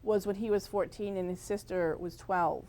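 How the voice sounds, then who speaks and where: A woman's voice: mid-range voice, steady tone, moderate pace, warm tone. A woman speaking as the host of an interview show, in a conversational style.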